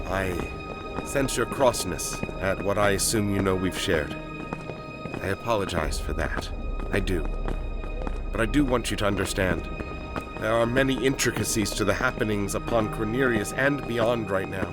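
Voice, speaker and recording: A man's voice: lowish voice, moderate pace, natural voice. A man voicing an earnest, diplomatic advisor who speaks in confiding tones in a fantasy audiobook drama. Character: robed male advisor, earnest, diplomatic, apologetic. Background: background music.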